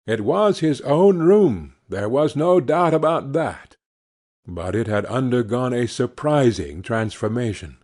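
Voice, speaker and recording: A man reads a classic novel aloud in a slow, husky voice. His pitch moves a great deal as he tells the story, measured and expressive. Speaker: audiobook reader.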